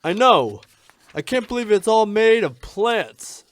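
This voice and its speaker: A man's voice: sits high, sing-song, slow pace, no echo, exaggerated voice. A man voicing an easygoing, greedy chicken in a radio comedy sketch, comically earnest and given to exclaiming.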